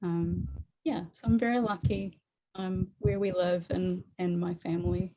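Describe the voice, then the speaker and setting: A woman's voice: lowish voice, fairly even intonation, unhurried, mellow, muffled recording, a little hiss or hum. A woman in her forties with a New Zealand accent, a guest talking casually in an interview, chatty with frequent laughs and many fillers. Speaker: guest artist.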